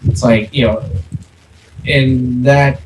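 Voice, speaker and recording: A man co-hosting a podcast, talking casually and haltingly, repeating words as he thinks aloud, with echoey audio. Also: mid-range voice, fairly even intonation. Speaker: podcast co-host. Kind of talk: casual, unscripted discussion. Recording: echoey, distant mic, background noise.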